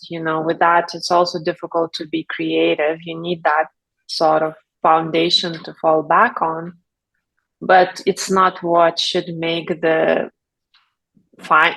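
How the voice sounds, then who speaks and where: A woman from Lithuania speaking English, talking in a reflective, unhurried way with frequent fillers and soft laughs as an interview guest. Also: on the low side, gravelly, rough recording. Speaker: guest, brand founder. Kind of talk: casual interview talk.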